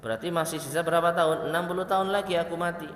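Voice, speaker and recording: A man's voice: a little high, steady tone, a bit bright, a little hiss or hum. Echoey male preacher in a hall. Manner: recites Arabic slowly, then explains it in quick asides. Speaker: Islamic preacher. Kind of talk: religious study lecture.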